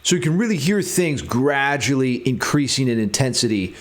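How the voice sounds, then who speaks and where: A man's voice: mid-range voice, expressive, moderate pace. A man giving a casual guitar theory tutorial, thinking aloud and enthusiastic about the material as he explains it. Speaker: guitar music theory teacher.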